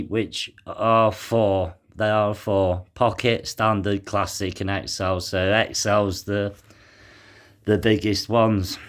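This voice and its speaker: Slow, halting man reading news. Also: medium pitch. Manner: halting, many restarts, fillers and sighs. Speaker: vlogger reading a news article aloud. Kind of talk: casual commentary mixed with reading aloud.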